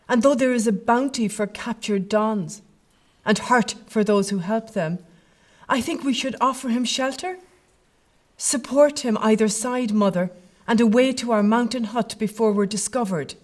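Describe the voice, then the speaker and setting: A woman's voice: on the high side, a little slow. A woman playing a brave, tender young Gaelic clanswoman in a period audio drama, with earnest, poetic phrasing. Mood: concerned.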